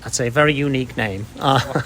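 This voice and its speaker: Deliberate British man, noisy recording. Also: mid-range voice, fairly bright tone. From United Kingdom. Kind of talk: conversational interview answers.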